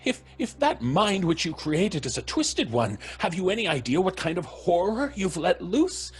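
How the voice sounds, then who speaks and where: An actor playing a genteel, moralising older professor in old-time radio horror style, husky, fussy and stammering. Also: male voice, high voice, big pitch swings, normal speed, exaggerated voice. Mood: horrified.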